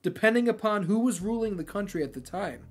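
A man voicing a calm, gracious temple priest, speaking softly but firmly in a measured, courteous way. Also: high-pitched, slightly rough, voice pushed up.

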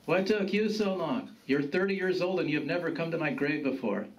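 A man's voice: fairly high pitch, normal speed, pitched above the performer's own voice, close to an everyday voice. A man voicing a grumpy, cynical dead grandfather in a story, blunt and needling. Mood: gruff, needling. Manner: blunt, scolding, needling with questions. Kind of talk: storytelling character voice. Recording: distant mic with background noise.

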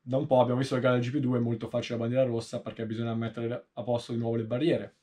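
A man in his thirties with a mid-pitched voice talks through race analysis informally. He explains things in a measured way and often pauses partway through a sentence. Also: monotone, mellow. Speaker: motorsport video host. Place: small indoor room, seated in a sim racing seat.

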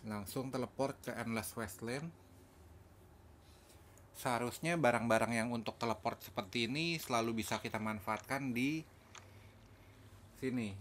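A man's voice: mid-range voice, moderate pace, long pauses, a bit bright. A man with an Indonesian accent giving casual commentary on a video game he is playing. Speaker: gaming video host. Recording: clear background noise, game ambience.

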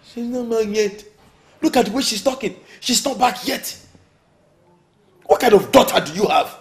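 A man's voice: fairly high pitch, big pitch swings, moderate pace, a bit bright. A man playing a domineering, hot-tempered middle-aged Nigerian family chief in a melodramatic film, given to indignant questioning. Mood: angry, scolding. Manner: indignant repeated rhetorical questions.

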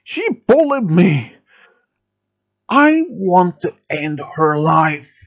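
A storyteller voicing an enraged, vengeful teenage boy who makes drawn-out, screaming demands. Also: mid-range voice, big pitch swings, exaggerated voice. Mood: bitter, vengeful.

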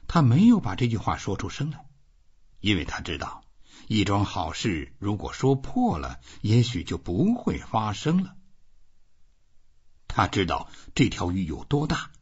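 A male audiobook narrator with a fairly low, very husky voice. He reads literary prose dramatically, with big swings of pitch. The sound is slightly dull and muffled. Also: darkish tone. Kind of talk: expressive scripted literary narration.

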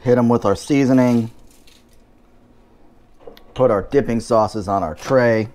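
A man's voice: mid-range voice, slow, darkish tone. A man hosting a home cooking show, talking through each step in a casual way with dry, wry asides.

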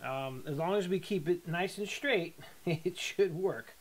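A man's voice: high-pitched, unhurried, noisy background. Plain-spoken man teaching welding. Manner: relaxed, plain-spoken, with ums and restarts. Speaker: hobby welder teaching a project. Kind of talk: casual workshop tutorial. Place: home metal workshop.

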